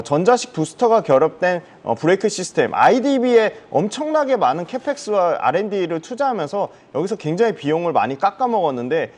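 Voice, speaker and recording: Animated, higher-voiced male stock analyst. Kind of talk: broadcast stock commentary.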